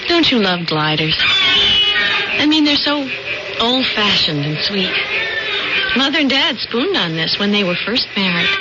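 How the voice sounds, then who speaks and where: Young small-town woman in radio drama, excitable and ambitious, high animated voice, heavy noise on a vintage recording. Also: a little slow, long pauses. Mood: airy, pensive. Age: young adult. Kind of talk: old-time radio drama acting. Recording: muffled, very noisy vintage radio broadcast.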